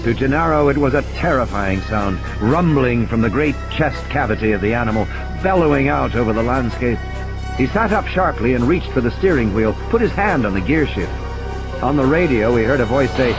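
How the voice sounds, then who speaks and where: A man's voice: mid-range voice, expressive, brisk, low-quality recording. A man reading scripted, dramatic story narration as an audiobook narrator. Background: music and sound effects.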